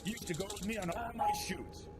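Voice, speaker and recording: A man's voice: high-pitched, fast talker, vocal fry. A man playing a brash, cheerful film director and adventurer who talks in breezy old-time slang. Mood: breezy, boastful. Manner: breezy 1920s American slang. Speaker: voice actor in an audio drama. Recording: loud background noise.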